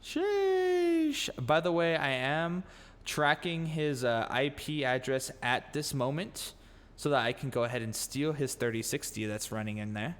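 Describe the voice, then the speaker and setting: A man's voice: a little high, expressive, a little slow, fairly bright tone. A man hosting a casual podcast, joking and teasing his guest and swearing freely. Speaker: podcast host. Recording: long room reverb, faint noise.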